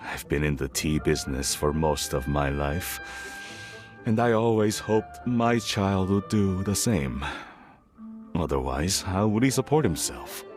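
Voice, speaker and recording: Casting: a middle-aged tea merchant for a video game. Deep register, unhurried pace, worried, earnest and careworn. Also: male voice, lively intonation, over music. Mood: hesitant, troubled. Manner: hesitant, earnest, weary. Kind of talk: scripted video game dialogue.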